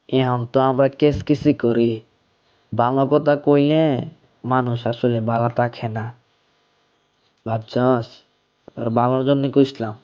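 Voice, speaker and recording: A man's voice: mid-range voice, close to an everyday voice. A man playing a nagging elderly village uncle in a cartoon, with a Bangladeshi accent and colloquial, scolding speech. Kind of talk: amateur cartoon dialogue. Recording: dull, muffled lo-fi audio.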